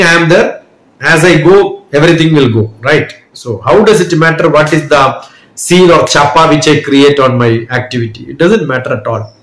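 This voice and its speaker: A man answering interview questions at length, measured and reflective, with small restarts. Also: a little high, fairly bright tone. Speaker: guest, educator and speaker. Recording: roomy echo.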